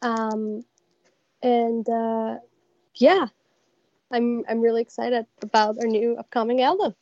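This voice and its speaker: A young woman from Iran, a band's lead singer, answering interview questions thoughtfully with frequent pauses. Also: on the high side, little pitch movement, a little mellow, no echo, highs cut off. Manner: thoughtful, many pauses and fillers. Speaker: lead vocalist of a metal band.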